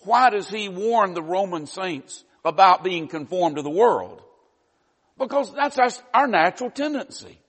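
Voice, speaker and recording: A man's voice: high voice, lively intonation, unhurried, fairly bright tone, long reverb tail. A man with a Southern US accent preaching a sermon in a folksy, earnest way, dropping in frequent "you know" asides. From Southern United States.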